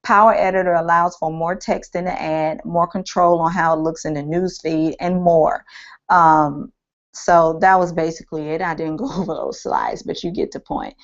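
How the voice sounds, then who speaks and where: A woman with a tired, slightly hoarse voice walking through a screen-share tutorial, rambling and thinking aloud with many ums. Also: low-pitched, fairly even intonation, moderate pace. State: losing her voice, a bit hoarse. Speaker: online marketing trainer. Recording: dull lo-fi screen-capture audio.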